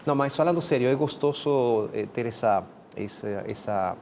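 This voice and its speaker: Man, guest pastor, measured, repeats words, mid voice. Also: medium pitch, darkish tone, slight background noise. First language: Spanish. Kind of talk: casual studio talk.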